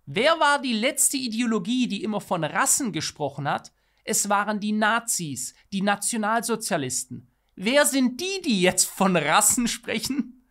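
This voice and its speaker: A man's voice: high voice, sing-song, measured pace, fairly bright tone. A man in his thirties co-hosting a podcast, giving opinions in an emphatic, rhetorical way. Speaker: podcast co-host. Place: small studio room, indoors. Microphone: studio microphone on boom arm.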